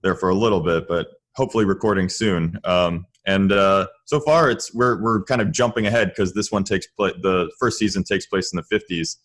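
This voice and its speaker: A man's voice: low-pitched, fairly quick, hardly any pauses, fairly bright tone. A man talking casually as a podcast guest and comedy writer, in a jokey, chuckling manner. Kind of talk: casual interview chat.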